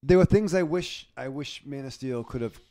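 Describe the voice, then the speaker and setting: A man's voice: mid-range voice, normal speed, dark tone, a little hiss or hum. A man co-hosting a podcast in casual chat, often restarting his sentences. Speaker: podcast co-host.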